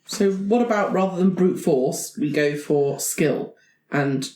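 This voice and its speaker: A woman playing a tabletop game, improvising in a deliberate, measured way. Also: lowish voice, mellow, echoey room, poor audio. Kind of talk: improvised in-character play and chat.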